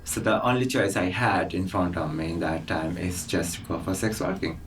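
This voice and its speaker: A deep-voiced man speaks earnestly, pausing now and then. He sits at some distance from the mic, and a faint ambient noise runs beneath his voice. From Bangladesh. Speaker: interviewee. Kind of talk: documentary interview.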